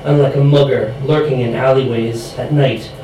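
A man's voice: medium pitch, steady tone, normal speed. A young man from the United States reading his poetry at a live event, his voice going from a chest infection. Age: young adult. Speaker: poet. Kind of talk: reading own poem aloud at a live event. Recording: very echoey room, distant mic, clear noise.

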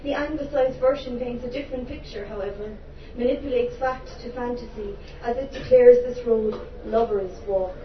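A woman's voice: a little high, moderate pace, hardly any pauses. Irish woman doing spoken word poems on stage, dramatic but funny, kinda far off in an echoey room. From Ireland. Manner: impassioned, theatrical delivery with wry humorous asides. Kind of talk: live spoken word poetry performance. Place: live venue before an audience. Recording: roomy, distant, dull live recording with background noise.

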